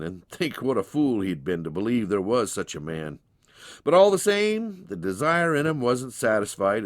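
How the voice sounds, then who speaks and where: Animated man telling a folksy story. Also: mid-range voice, no room sound, studio quality. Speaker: narrator. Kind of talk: dramatized storybook narration.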